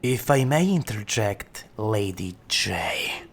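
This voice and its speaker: A man's voice: lowish voice, lively intonation, slow pace, a little hiss or hum. A man playing a sinister, courteous mafia enforcer in an audio drama, formal, theatrical and darkly amused. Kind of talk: audio roleplay acting.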